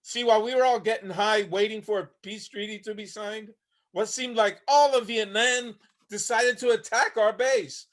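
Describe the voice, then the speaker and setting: Guy acting a bitter old homeless vietnam vet, kinda high voice, tired plain talk, haunted, play reading. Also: fairly even intonation, moderate pace, close to an everyday voice. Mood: haunted, weary. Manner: gritty, plainspoken, world-weary storytelling. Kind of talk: dramatic monologue in a staged play reading. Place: online video call reading.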